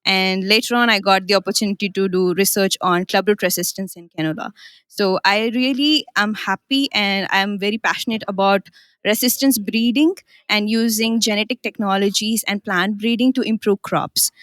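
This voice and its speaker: A woman's voice: medium pitch, normal speed, a bit bright, no room sound. Earnest young Indian woman, dry recording. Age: young adult. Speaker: interviewee, graduate student. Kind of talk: conference mini interview.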